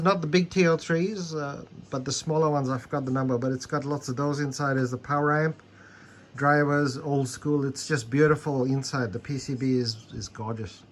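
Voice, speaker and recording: A man's voice: a little high, slight background noise. A man giving a casual, unscripted hands-on demonstration, explaining things a little hesitantly as he goes. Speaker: hobbyist demonstrating a repaired tape deck.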